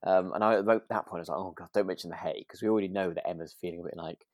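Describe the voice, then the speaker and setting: A man's voice: deep voice, fairly even intonation, racing, dry recording, studio quality. A man co-hosting a podcast, chatting casually and agreeably about a radio drama, thinking aloud in loose sentences. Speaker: podcast co-host.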